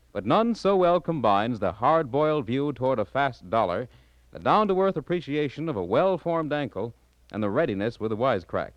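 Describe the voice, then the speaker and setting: Male radio announcer, 1940s style, very lively pitch, polished, emphatic scripted delivery, dry sound. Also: no room sound, muffled recording.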